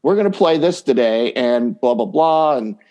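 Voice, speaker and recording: A man's voice: medium pitch, animated, moderate pace, dark tone, muffled recording. A man chatting informally and enthusiastically as a dating coach and guest, acting out imagined quotes as he gives advice. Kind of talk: casual conversational interview advice.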